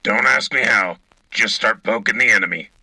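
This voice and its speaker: A gruff military radio co-host, acted by a man, with a lowish, very hard-edged voice. He is blunt and sardonic, husky and rough, recorded close and dry. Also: moderate pace, pushed and bright, dry recording, full character voice, poor audio. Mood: wry. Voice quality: gravelly, husky. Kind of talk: in-character military radio commentary.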